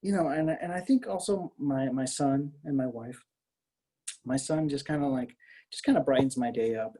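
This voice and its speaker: A man's voice: mid-range voice, warm tone. A middle-aged American man from the Miami area talking casually as an artist being interviewed, in a hesitant, rambling way with repeated words. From Miami area, Florida, US.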